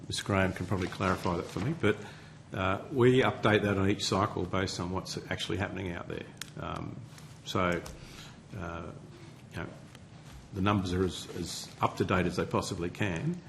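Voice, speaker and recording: A man giving testimony as an official at a hearing, answering in a hesitant, measured way. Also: low-pitched, vocal fry, a little hiss or hum. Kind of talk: spoken testimony. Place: parliamentary committee room.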